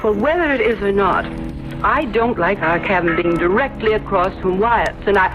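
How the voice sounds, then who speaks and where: An actress playing a fearful, suspicious wife, anxious and dramatic, in a 1970s gothic radio drama. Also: female voice, medium pitch, animated, moderate pace, lightly acted. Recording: muffled vintage radio, loud music behind.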